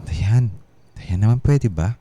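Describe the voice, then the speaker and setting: Shifty, irritable unfaithful husband, deep muffled-dark tone, halting delivery, radio drama. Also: male voice, lively intonation. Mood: defensive. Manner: halting, stammering when cornered. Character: evasive, irritable cheating husband. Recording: music behind the dialogue.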